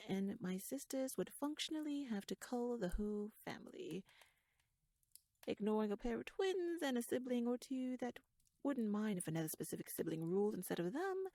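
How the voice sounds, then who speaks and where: A woman's voice: mid-range voice, sing-song, fairly quick, warm tone, no room sound. A woman playing a shrewd, talkative royal schemer in an ASMR audio roleplay, speaking in wordy, formal, playfully wry musings addressed to the listener. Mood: sly, chatty.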